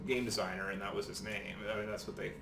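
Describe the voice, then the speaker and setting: A man's voice: on the low side, steady tone, fast, creaky, a bit bright. A man co-hosting a podcast, chatting casually about films. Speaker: podcast co-host. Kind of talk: casual movie discussion. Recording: echoey room, faint background noise.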